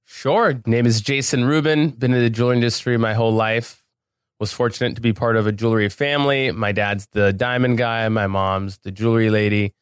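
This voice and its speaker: A man's voice: mid-range voice, fairly even intonation, fairly quick, clear, resonant tone, dry recording. A man speaking casually as an interview guest on a podcast, recorded in a studio.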